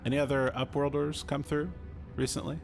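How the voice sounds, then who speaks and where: A man's voice: mid-range voice, flat delivery, brisk, gravelly, soft background music. A man doing a casual amateur voice-over as the player's hero in a video game.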